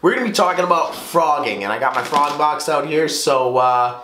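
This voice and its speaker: A man's voice: on the high side, brisk, slight background noise. Nasal man with a cold, roomy sound. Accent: Upper Midwest American. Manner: relaxed rambling, many "um" and "uh". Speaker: fishing video host. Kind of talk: casual how-to talk to camera.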